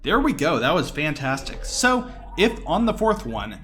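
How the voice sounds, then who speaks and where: A man's voice: a little high, very animated, a little slow, a bit bright, slight background noise. A man giving casual live commentary on a video game speedrun, explaining things as he plays. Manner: explanatory, talking through the run as it happens. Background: video game music.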